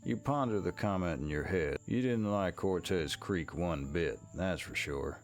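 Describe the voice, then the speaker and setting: A man's voice: low-pitched, measured pace, soft background music. A man performing scripted second-person narration as the storyteller of a Wild West mystery game. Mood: reflective.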